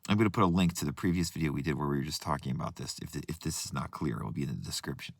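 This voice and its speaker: A hoarse man speaking as a coach, earnest, with restarts and asides, who sometimes reads passages from a book. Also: extremely low pitch, rapid-fire, no echo. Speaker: manifestation coach. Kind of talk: reflective talk with book readings.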